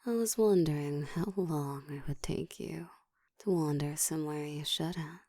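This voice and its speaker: A woman voicing a seductive, dominant ancient vampire in an intimate ASMR roleplay, teasing and commanding, often slipping into a soft whisper. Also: a little slow, no echo, studio quality. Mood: teasing, predatory. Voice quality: soft, breathy whispering mixed with low murmur.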